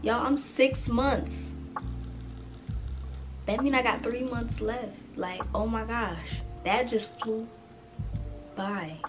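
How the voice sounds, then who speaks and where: A woman, chatting casually to camera as a vlogger, animated and talking straight to viewers, a little stuffy from a cold. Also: a little high, takes it slowly, pauses often, over music. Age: 20s. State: congested with a cold.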